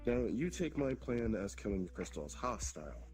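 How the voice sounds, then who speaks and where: A man's voice: lowish voice, sing-song, normal speed. A man voicing a demon king who explains patiently and bluntly, sometimes swearing, in a roleplay. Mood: calm, explaining. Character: demon king, ancient creator of monsters. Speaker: roleplay player. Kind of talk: in-character roleplay dialogue. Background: background music.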